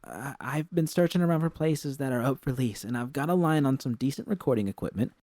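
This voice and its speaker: A man voicing an excitable, earnest young woman technician in an audiobook story, with urgent, halting, slangy speech. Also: medium pitch, dry recording, clean studio sound, voice pushed up, lightly acted. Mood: excited.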